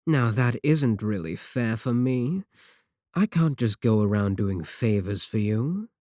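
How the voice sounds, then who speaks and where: A man playing a coolly sardonic villain in an audio roleplay, unhurried, condescending and softly threatening. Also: medium pitch, expressive, dry recording, clean studio sound. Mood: smug, firm. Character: menacing, coolly sardonic male villain of an evil organization. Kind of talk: scripted ASMR audio roleplay.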